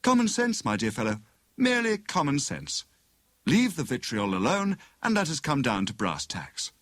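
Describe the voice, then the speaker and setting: Man acting a breezy, flippant captive adventurer, lively pitch. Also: dry recording, studio quality. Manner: breezy, unhurried banter. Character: cheerful, flippant young male adventurer held captive. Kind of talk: dramatised audiobook dialogue.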